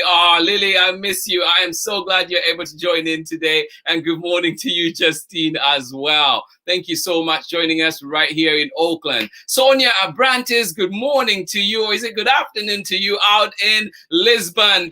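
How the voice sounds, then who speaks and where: A man's voice: high voice, sharp tone. A man hosting a children's story time livestream, greeting viewers by name in an exuberant, effusive manner.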